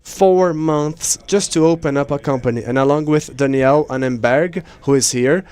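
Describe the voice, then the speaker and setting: A man interpreting conference speeches live, in measured phrases with pauses mid-sentence. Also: fairly high pitch, darkish tone, clean tone. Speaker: simultaneous interpreter.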